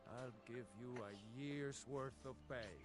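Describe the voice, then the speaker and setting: A man plays a stern ship captain in a fantasy game, curt and commanding. Clear game music plays under his mid-pitched voice. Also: a little slow, close to an everyday voice. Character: stern male ship captain, proud and strict.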